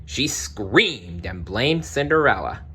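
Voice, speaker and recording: A man narrating a children's storybook aloud in an expressive read-along style. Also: on the low side, takes it slowly, slightly rough. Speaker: storybook reader.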